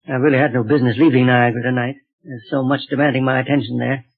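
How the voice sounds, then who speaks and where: A man playing a brisk, courteous scientist in a 1930s radio serial. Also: mid-range voice, flat delivery, close to an everyday voice. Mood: courteous, eager. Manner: brisk, polite. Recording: tinny, narrow 1930s radio recording.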